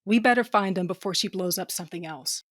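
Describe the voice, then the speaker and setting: A woman's voice: medium pitch, quick pace, no echo, studio quality, close to an everyday voice. A woman playing a blunt, sardonic female starship captain who narrates her own science fiction audio drama in slangy language. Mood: blunt, determined. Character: tough, sardonic, foul-mouthed female ship captain with a cybernetic eye. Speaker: narrator and lead character. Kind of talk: first-person audio drama narration and dialogue.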